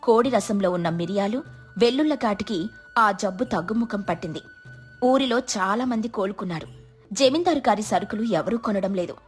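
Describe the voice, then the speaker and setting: A woman's voice: medium pitch, expressive, moderate pace, faint music behind. A woman storyteller giving scripted, dramatic narration of a village tale.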